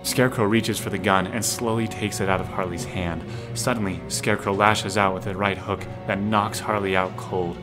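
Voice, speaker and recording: A man's voice: fairly low pitch, fairly even intonation, normal speed, few pauses. A man reading scripted action narration for an audio drama. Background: music.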